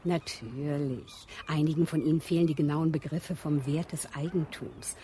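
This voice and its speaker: An actress playing a kindly, scatterbrained woman who runs a home for wayward girls in a radio drama; she speaks earnestly and gets gently flustered. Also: deep voice, fairly even intonation, normal speed, a little hiss or hum, close to an everyday voice.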